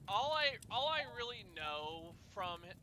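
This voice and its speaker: A young man telling personal stories casually, in a halting way with repeated words and restarts. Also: high-pitched, very animated, bright, forceful tone, slight background noise. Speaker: storyteller and host of a group chat.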